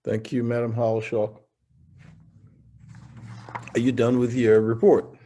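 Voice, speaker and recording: A man's voice: medium pitch, little pitch movement, unhurried, dark tone, slight background noise. A man chairing a city meeting as mayor, brief and procedural.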